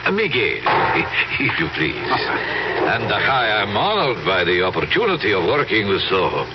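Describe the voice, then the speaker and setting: An actor playing a deferential, formally polite professor in an old-time radio drama, with halting speech. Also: male voice, on the low side, big pitch swings, very noisy. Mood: courteous, deferential. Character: courteous, deferential male professor welcoming guests. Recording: muffled old radio broadcast with music.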